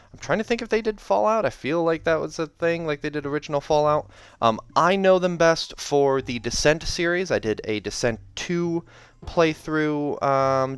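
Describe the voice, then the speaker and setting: A man's voice: fairly high pitch, fairly bright tone, smooth, no room sound. A man hosting in a casual, chatty, rambling way that often corrects itself, as he talks through and reads aloud a book. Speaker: host of a reading series. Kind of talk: casual video commentary and read-aloud. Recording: music behind the voice. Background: soundtrack music.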